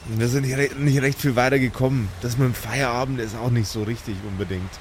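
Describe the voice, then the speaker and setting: A man's voice: medium pitch, steady tone, fast talker, slight background noise. A man improvising as an easygoing boat skipper in a tabletop game, casual and slangy. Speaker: player voicing a small-boat skipper. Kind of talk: improvised roleplay and scene description.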